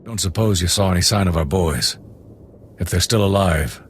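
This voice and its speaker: An actor playing a blunt veteran officer in charge of a military outpost, speaking dry and matter-of-fact. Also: male voice, sits low, moderate pace, a little hiss or hum, close to an everyday voice. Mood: gruff. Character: gruff male outpost commander, blunt veteran. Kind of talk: video game cutscene.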